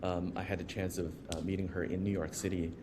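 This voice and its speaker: A man with a low voice hosts a casual interview. His delivery is informal and halting, with restarts as he puts his questions together. Clear background noise and rumble sit behind him. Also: level tone. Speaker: podcast host, company co-founder.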